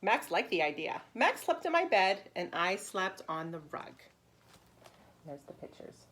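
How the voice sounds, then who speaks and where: Expressive woman reading to preschoolers, swooping pitch. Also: measured pace, slight background noise. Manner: simple, explanatory child-directed speech with expressive emphasis. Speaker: preschool teacher reading a storybook aloud. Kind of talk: animated read-aloud for young children.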